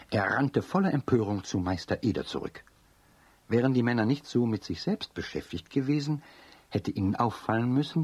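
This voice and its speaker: A man narrating a children's radio play as a scripted storyteller, with a husky voice. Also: lowish voice, darkish tone, no echo.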